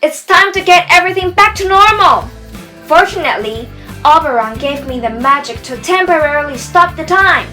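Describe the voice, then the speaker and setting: A woman's voice: high voice, expressive, normal speed, sharp tone, soft background music. A woman playing a mischievous fairy trickster in an amateur radio drama. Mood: brisk, pleased. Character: mischievous fairy trickster setting things right.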